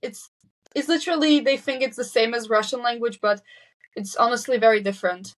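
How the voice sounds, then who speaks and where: A teenage girl whose first language is Slovak talks in English with a fairly high pitch. She answers openly, pausing to recheck facts and restarting her sentences. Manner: candid, self-correcting, quick nervous laughs. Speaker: guest. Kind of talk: casual interview chat.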